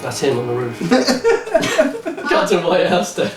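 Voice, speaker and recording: Guy with a highish voice riffing on songs w his mate, mocking n giggly, echoey room. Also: huge pitch swings, slow pace, music in the background. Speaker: co-host of a music reaction show. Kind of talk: casual comedic banter reacting to songs. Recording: roomy echo, distant microphone.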